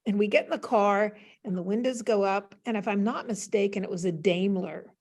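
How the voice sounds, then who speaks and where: An older American woman, a panel guest, telling casual stories in an animated way and acting out remembered dialogue. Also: medium pitch, brisk. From United States. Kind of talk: casual anecdotal storytelling.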